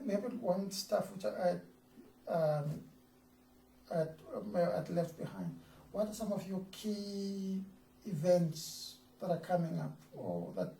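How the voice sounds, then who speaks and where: A man's voice: high voice, gravelly, mellow, reverberant, distant mic, a little hiss or hum, poor audio. A man interviewing a guest in a studio for a TV program, talking hesitantly with fillers and restarts.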